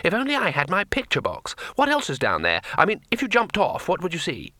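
A man's voice: medium pitch, very animated, a little fast, pushed and bright, no echo, studio quality. A man voicing a naive, earnest and curious male tourist in an audiobook story. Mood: delighted, curious.

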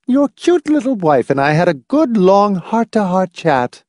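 A man's voice: high voice, big pitch swings, measured pace, darkish tone, dry recording, clean studio sound, pitched above the performer's own voice. A man voicing a bossy, cheerful old lady hostess, gushing and emphatic, for an audiobook. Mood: joyous, gushing.

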